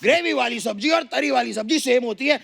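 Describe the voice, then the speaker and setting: A man's voice: extremely high pitch, fast, gravelly, exaggerated voice. A man playing an overgrown, obedient son as a melodramatic film-hero parody in a stage sketch. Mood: exasperated. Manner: melodramatic, forceful shouting delivery.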